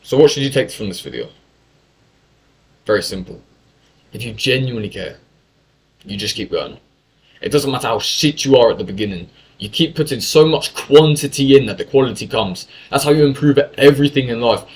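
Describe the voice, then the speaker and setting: A man's voice: medium pitch, animated, a little fast, pauses often. A young man from England telling a story in a casual monologue, talkative and full of swearing and self-corrections. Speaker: YouTuber.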